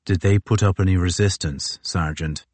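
Guy doing a grim navy captain, low and clipped, dry close mic. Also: steady tone, moderate pace, darkish tone, no room sound. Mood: cold. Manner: low, curt and cold. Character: grim, hard older naval captain.

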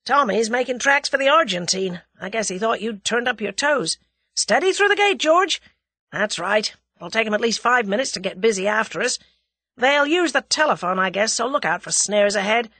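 A brash young American man from a storybook thriller, acted out by a woman, with a husky edge and a lively swing in pitch. He gives orders coolly and tosses off wry slang in a breezy, commanding way. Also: normal speed, no echo, exaggerated voice. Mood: urgent, commanding.

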